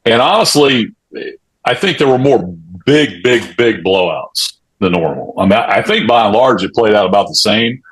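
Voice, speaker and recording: Man, lowish pitch, husky, casual sports analysis, measured delivery. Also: expressive, a bit bright, poor audio. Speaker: sports podcast panelist.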